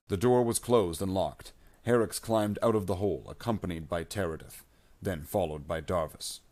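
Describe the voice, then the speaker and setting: A man's voice: low-pitched, darkish tone. A man reading scripted science fiction in an audiobook style, narrating plainly without putting on character voices.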